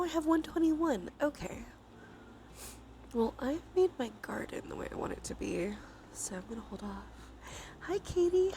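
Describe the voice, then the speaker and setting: A sniffly woman with a sore throat chatting softly on a cozy ASMR livestream, often dropping into whispers. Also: high voice, slight background noise.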